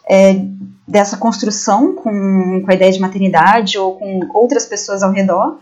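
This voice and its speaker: A woman's voice: medium pitch, steady tone, normal speed, a little mellow. A Brazilian woman taking part in a casual film discussion on a podcast panel. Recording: slightly dull, lo-fi audio.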